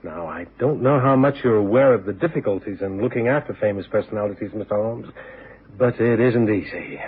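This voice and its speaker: A man's voice: lowish voice, fairly quick, breathy edge. A man playing a businesslike, anxious concert manager with a polite, hesitant manner in a radio drama. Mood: worried, businesslike. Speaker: supporting actor. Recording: tinny old radio recording.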